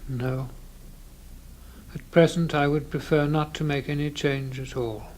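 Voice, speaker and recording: An actor playing a withdrawn, stubborn copyist who answers in quiet, curt refusals. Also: male voice, a little high, fairly even intonation, unhurried, a little hiss or hum, lightly acted. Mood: detached. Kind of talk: old radio drama acting.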